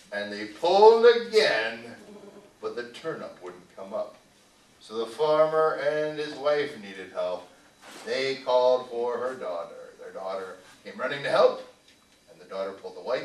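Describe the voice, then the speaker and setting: A man telling stories live to children as a puppeteer, with dramatic, drawn-out delivery. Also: mid-range voice. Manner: dramatic pauses and drawn-out repetition, asks the audience questions. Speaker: puppeteer and storyteller. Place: arts center live show. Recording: roomy hall, distant mic, faint noise.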